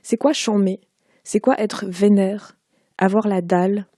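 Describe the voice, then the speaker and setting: Warm-voiced woman reading her prose. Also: medium pitch, fairly even intonation, dry recording, studio quality. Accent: Parisian French. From Quebec. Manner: measured, unhurried literary reading. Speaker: author. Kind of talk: reading aloud from her own book.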